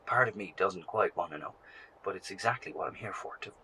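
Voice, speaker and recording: Low-voiced, weary survivor professor. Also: male voice, brisk, bright, forceful tone, slight background noise, poor audio. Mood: wary, hushed. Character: weary, anxious male professor surviving a nightmare apocalypse. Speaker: narrator of a survivors' broadcast. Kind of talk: horror audio drama, recorded field diary.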